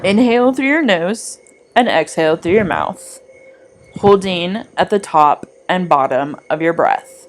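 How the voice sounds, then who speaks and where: A woman leads a guided meditation at a very unhurried pace. Her voice sits somewhat low and moves widely in pitch as she gives gentle, deliberate instructions in a soothing manner. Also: expressive, a little hiss or hum. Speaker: meditation guide, art teacher. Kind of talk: guided meditation narration, scripted.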